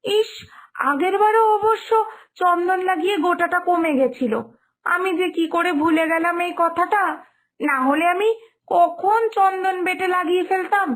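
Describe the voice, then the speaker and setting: A woman's voice: very high voice, moderate pace. A woman voicing a sulky young sister-in-law in a cartoon story, complaining in a pouting, dramatic way. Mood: relieved, eager. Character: whiny, sulky young sister-in-law fretting over her looks. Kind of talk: Bengali animated moral story dialogue. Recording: music behind the voice.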